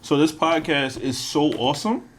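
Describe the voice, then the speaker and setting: A man's voice: on the high side, moderate pace. Guy hosting a podcast, slangy n emphatic, repeats himself, some noise n clicks behind. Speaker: podcast host interviewing his co-host. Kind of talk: casual podcast intro and interview.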